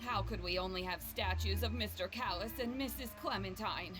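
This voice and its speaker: A woman's voice: medium pitch, moderate pace, over music, close to an everyday voice. An actress playing an earnest, loyal townswoman in an anime video game.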